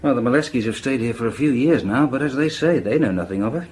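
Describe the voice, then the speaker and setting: A man's voice: mid-range voice, animated, a little mellow, close to an everyday voice. Actor as a matter-of-fact male police inspector. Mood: reporting. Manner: hesitant pauses, matter-of-fact reporting. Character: diligent, conversational police inspector briefing his chief. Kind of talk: radio drama acting. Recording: faint background noise.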